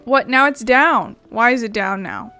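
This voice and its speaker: A woman's voice: a little high, a little slow, bright, forceful tone. A woman giving casual gameplay commentary as a let's player, muttering and self-deprecating. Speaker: game streamer, let's player. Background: game music.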